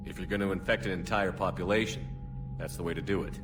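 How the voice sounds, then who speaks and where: An actor playing a resolute human military commander in a video game, with a direct, firm manner. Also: male voice, low voice, fairly even intonation, fast, natural voice. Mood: steady. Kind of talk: video game cutscene acting. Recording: music behind.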